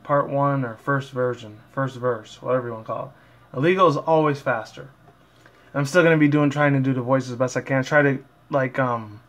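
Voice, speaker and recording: Rambling man hosting an amateur read-aloud. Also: medium pitch. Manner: rambling, stumbles and corrects himself. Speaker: amateur fan fiction reader and video host. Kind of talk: casual intro and halting story read-aloud.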